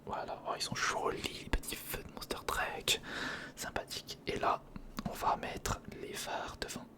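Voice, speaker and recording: A man whispering in a breathy, crackly voice, casually murmuring a step-by-step ASMR commentary as he thinks aloud. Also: normal speed. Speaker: ASMR video creator.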